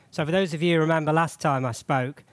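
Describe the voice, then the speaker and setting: A man gives a chatty, joking church sermon while fighting a cold that has nearly taken his voice. His speaking voice sits somewhat high. The sound is dry and close. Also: fairly quick, no echo. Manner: chatty, jokey, frequent rhetorical questions. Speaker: church treasurer giving a sermon.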